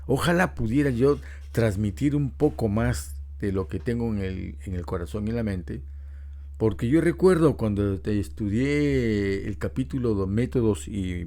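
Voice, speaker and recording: A man's voice: fairly low pitch, mellow. A middle-aged man preaching a Bible lesson, explaining patiently and asking rhetorical questions. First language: Spanish. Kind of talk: Bible teaching talk.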